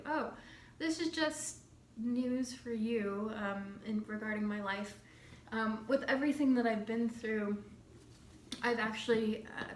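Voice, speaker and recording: Chatty young woman in an echoey room. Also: medium pitch. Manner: chatty, loose, many fillers and restarts. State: has a slight cold, clears her throat. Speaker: vlogger sharing her recovery. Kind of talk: casual talking-to-camera update. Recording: echoey room with faint hum.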